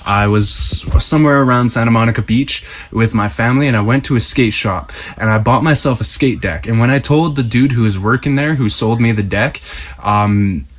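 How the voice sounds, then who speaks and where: A man from Canada tells a rambling, amused story. His voice is mid-pitched for a man. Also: normal speed. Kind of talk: storytelling social video clip.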